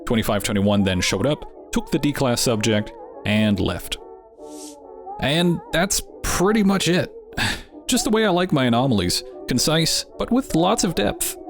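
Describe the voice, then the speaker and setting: A man's voice: medium pitch, expressive, unhurried. A man hosting a channel, giving scripted narration with wry, dryly joking asides. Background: background music.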